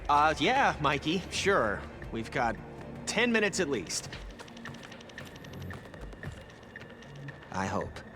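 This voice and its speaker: A man's voice: on the high side, huge pitch swings, unhurried, over music. A man voicing a brainy, analytical teenage turtle tech expert in a cartoon video game, explaining things precisely. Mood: hesitant. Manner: precise, briefing-like.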